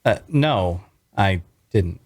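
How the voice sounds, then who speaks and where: Man playing a guarded, evasive fantasy traveller, animated. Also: sits low, slow pace, pitched below the performer's own voice, full character voice. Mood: guarded, curt.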